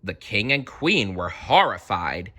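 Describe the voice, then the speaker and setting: Guy doing a kids read-aloud, slow n expressive, bright clear-cut voice, chatty asides. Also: lowish voice. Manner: expressive, deliberate, with chatty reactions to the story. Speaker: storybook reader. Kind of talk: read-aloud children's story with casual asides.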